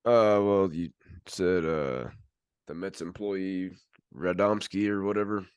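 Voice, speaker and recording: Male podcast co-host, notably low voice, conversational sports talk. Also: takes it slowly, a little mellow, clear, resonant tone, dry recording, studio quality. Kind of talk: casual sports chat.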